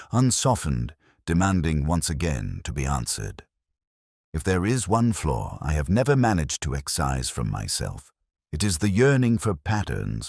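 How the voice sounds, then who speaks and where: A man playing a brooding, introspective English police detective, narrating a mystery in measured, solemn, literary phrases. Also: a bass voice, dry recording, studio quality, full character voice. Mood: sombre, pensive. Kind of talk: scripted first-person mystery narration.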